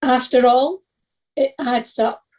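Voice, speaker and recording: A woman's voice: sits high, steady tone, slow, darkish tone. A woman reading a scripted devotional reflection as one of a church's readers.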